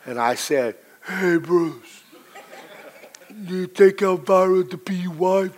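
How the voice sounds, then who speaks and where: A middle-aged man with a low, hoarse voice, preaching a sermon to his congregation with emphatic, slow, drawn-out stresses. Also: a little mellow. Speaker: pastor.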